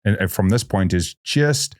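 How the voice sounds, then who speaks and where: A man's voice: on the low side, moderate pace. A man hosting a podcast interview, talking animatedly and acting out quotes. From South Africa. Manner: animated, restarts sentences, acts out quotes. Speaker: podcast host. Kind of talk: conversational interview.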